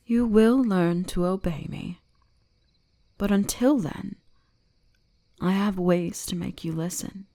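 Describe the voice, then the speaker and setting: Woman voicing a slow, creaky, imperious fox spirit. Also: medium pitch. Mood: coaxing, dominant. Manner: slow, deliberate, imperious, teasingly gentle. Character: commanding, centuries-old female kitsune shrine guardian, cold yet fascinated. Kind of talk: scripted ASMR roleplay addressed to the listener.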